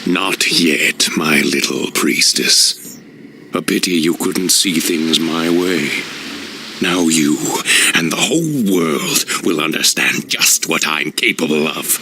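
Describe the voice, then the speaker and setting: A menacing half-demon elf villain for a fantasy game. The voice is cavernously low and gravelly, proud and theatrical, with a noisy rumble of ambience behind it. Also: male voice, slow, full character voice. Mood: gloating, menacing. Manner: haughty, sinister, theatrical. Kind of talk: video game cutscene voice acting.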